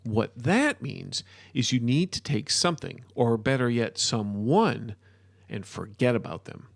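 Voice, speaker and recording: A man voicing an obsessive, excitable modern-day wizard in an audiobook story, with animated, emphatic lecturing. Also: medium pitch, unhurried, gravelly, no echo, studio quality. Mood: intense lecturing.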